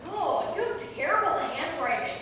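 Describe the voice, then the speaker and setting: A woman's voice: extremely high pitch, animated, moderate pace, sharp tone, heavily acted. A woman playing a cocky, goofy immortal vampire troublemaker in a staged reading, joking and slangy. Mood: teasing. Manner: joking, slangy, self-amused. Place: theater stage, recorded from a distance. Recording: very echoey hall, far mic, noisy.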